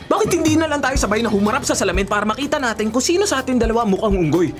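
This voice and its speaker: An actor with a Filipino accent playing an earnest, smooth-talking young suitor in a radio drama. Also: male voice, very high voice, animated, racing, faint music behind. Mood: mocking, firm.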